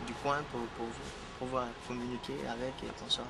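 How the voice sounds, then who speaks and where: A man's voice: medium pitch, flat delivery, brisk, creaky. Hesitant man over traffic noise. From Guinea. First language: Fula. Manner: hesitant, repeats words, frequent "tu vois" fillers. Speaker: singer being interviewed. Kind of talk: casual interview chat.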